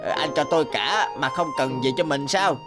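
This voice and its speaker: A man voicing a small, kindly old bearded man, gentle and grateful, in an animated fairy tale. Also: fairly high pitch, fairly quick, over music, natural voice.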